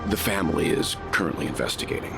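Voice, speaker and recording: An actor playing a reserved, cautious, mature gentleman in a video game, speaking politely and deliberately. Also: sits low, expressive, creaky. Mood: cautioning. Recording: loud game music behind the voice.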